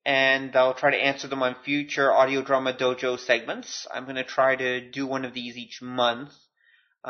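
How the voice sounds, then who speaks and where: A man's voice: medium pitch, lo-fi sound. A man hosting a podcast solo, talking informally with self-deprecating, joking asides. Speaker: podcast host. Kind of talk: casual solo podcast talk.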